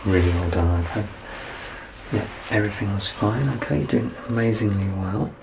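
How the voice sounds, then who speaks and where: A man in his thirties doing an ASMR medical exam roleplay as a doctor, soft-spoken, reassuring and explanatory. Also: on the low side, normal speed, gravelly, noisy background. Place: small curtained indoor room.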